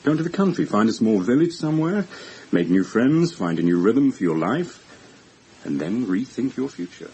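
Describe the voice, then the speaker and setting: An actor playing a calm, measured doctor who probes and advises a patient in a radio drama. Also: male voice, medium pitch, breathy edge, full character voice, rough recording. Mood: encouraging.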